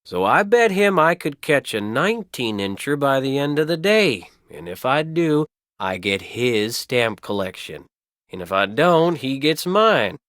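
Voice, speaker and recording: An actor playing a folksy, easygoing small-town printer who loves fishing, chatty in a video game. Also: male voice, medium pitch, very animated, measured pace, fairly bright tone, dry recording, clean studio sound. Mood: stubborn, boastful. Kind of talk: video game character acting.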